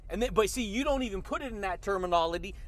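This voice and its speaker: Hyped-up high-voiced motivational man. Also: animated, rapid-fire. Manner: hyped, slangy, keeps saying dude and baby. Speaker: motivational podcast host. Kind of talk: energetic motivational monologue.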